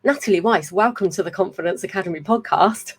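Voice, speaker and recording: A woman's voice: fairly low pitch, fast. A woman in her thirties hosting a live-streamed podcast from home over a video call, chatty and self-mocking, swearing freely. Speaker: podcast host. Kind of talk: casual live-stream interview. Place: home room with a fan humming. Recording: fan hum in the background.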